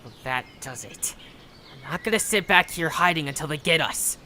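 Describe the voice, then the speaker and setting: A woman voicing a small, feisty, defiant creature in an audio drama, excitable and combative. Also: sits low, normal speed. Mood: determined. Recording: noisy track with birdsong and clicks.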